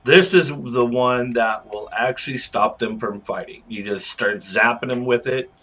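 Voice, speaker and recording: A man's voice: mid-range voice, unhurried, a bit bright, breathy edge. A man doing casual, chatty game commentary as a channel host, rambling informally through asides.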